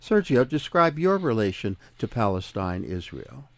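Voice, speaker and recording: A man hosting a panel discussion, introducing topics and guests in a measured, formal way. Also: mid-range voice, sing-song. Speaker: host.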